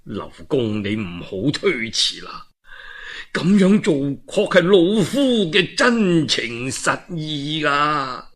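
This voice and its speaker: A male storyteller playing an elderly, weary governor who speaks in a slow, earnest and humbly insistent manner, in Cantonese with declamatory phrasing. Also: a little high, sing-song, a bit bright, heavily acted. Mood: pleading.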